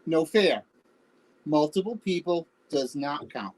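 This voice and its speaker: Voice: medium pitch, expressive, takes it slowly, a little mellow, low-quality recording. An actor playing a wry, teasing older spouse reminiscing fondly in a staged play reading. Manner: wry, bantering, conversational.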